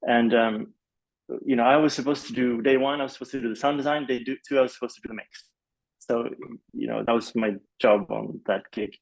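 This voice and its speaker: Rambling man with a creaky, gravelly edge. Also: medium pitch, fairly even intonation, a little fast, rough recording. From Malaysia. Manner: rambling, hesitant, peppered with "like" and "you know". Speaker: podcast guest, film composer. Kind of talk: casual interview storytelling.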